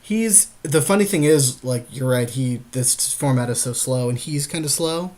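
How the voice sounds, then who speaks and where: Mid-pitched man co-hosting casual game commentary. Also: moderate pace, a little mellow. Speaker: podcast co-host. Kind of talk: casual chat, gameplay commentary.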